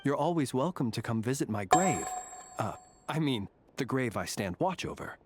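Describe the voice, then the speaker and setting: A man voicing a courteous, eccentric graveyard warden in a video game, speaking in a formal, measured, genteel way. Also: mid-range voice, very animated, loud music behind the voice, close to an everyday voice. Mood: wry, courteous. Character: courteous, eccentric gentleman graveyard warden, calm and faintly eerie. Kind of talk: video game character dialogue.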